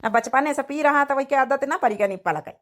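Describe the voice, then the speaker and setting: Cartoon caregiver, tender and doting, Awadhi accent, higher-pitched voice from a female performer. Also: fast, a bit bright. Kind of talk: family drama cartoon dialogue.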